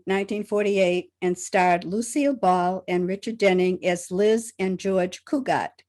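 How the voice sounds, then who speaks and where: Woman announcing with a mid-pitched voice. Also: a little mellow. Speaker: announcer and narrator. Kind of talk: scripted radio show narration.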